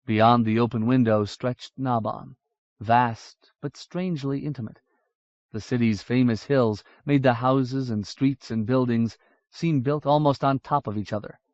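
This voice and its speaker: A man narrating a fantasy audiobook in a measured, even way. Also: medium pitch, no echo. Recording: dull lo-fi audiobook recording.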